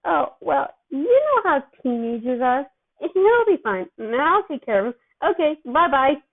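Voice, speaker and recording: A woman's voice: sits high, very animated, a little slow, pitched above the performer's own voice, heavily acted. A woman voicing a frazzled, defensive man looking after a superpowered teenager, in an amateur story reading. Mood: falsely breezy. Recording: thin, phone-like narrow-band sound.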